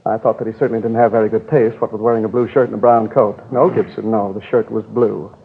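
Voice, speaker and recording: An actor playing a nervous, sarcastic bank manager in a querulous, flustered manner, in 1950s radio drama style. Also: male voice, fairly low pitch, flat delivery, fast talker, gravelly, slight background noise. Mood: fussy. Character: fussy, nervous, sarcastic male bank manager, a robbery victim. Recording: old 1950s radio recording, narrow tinny band.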